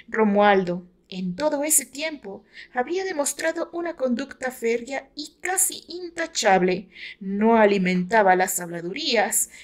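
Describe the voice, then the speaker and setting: A woman with a Mexican accent reading her own novel aloud as scripted literary narration. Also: a little high.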